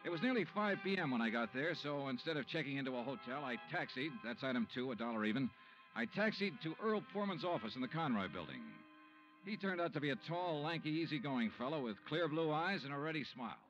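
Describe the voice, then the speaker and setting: A man's voice: fairly high pitch, animated, fast, muffled recording. A man playing a wry, world-weary insurance investigator, narrating and talking in 1950s radio detective drama style with clipped, dry delivery. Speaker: lead actor and first-person narrator. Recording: vintage radio recording with loud music.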